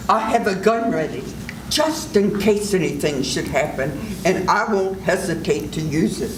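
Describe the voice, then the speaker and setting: An older woman playing a composed woman doctor in an amateur old-time radio melodrama, speaking in a calm, measured way. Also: fairly low pitch, echoey room, distant mic, a loud crowd, lightly acted, poor audio. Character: cool, composed woman doctor under suspicion.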